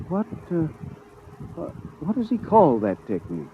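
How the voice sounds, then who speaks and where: An actor playing a blunt, fastidious art critic with an emphatic, disdainful manner in a 1950s radio drama. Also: male voice, a little high, wildly swooping pitch. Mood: appalled scorn. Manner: emphatic, disdainful, drawn-out stressed words. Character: scornful, fastidious male modern-art critic, blunt and disapproving. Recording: tinny, hissy vintage radio recording.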